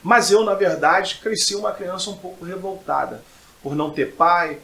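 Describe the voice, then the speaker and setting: A man's voice: high-pitched, moderate pace, creaky, sharp tone, roomy sound. A man, middle-aged, giving personal testimony as a podcast guest in an emphatic way, repeating phrases for effect. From Brazil. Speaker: podcast guest, pastor and preacher.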